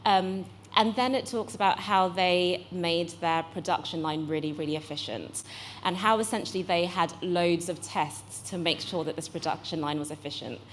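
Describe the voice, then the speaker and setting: A woman's voice: lowish voice, moderate pace, slight background noise. A British woman in her forties, a guest speaker, explaining in a chatty way and correcting herself as she goes. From United Kingdom. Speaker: guest speaker, accelerator managing director. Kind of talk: fireside chat on a panel stage.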